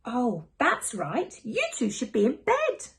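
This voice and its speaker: A woman voicing a gentle, reassuring mother of young twins in a children's picture book, patient and soothing. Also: fairly high pitch, huge pitch swings, slow pace, heavily acted. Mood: patient, loving.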